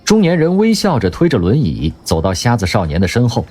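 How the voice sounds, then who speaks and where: A man narrating an audio drama in an expressive storytelling manner. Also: mid-range voice, fairly quick, soft background music.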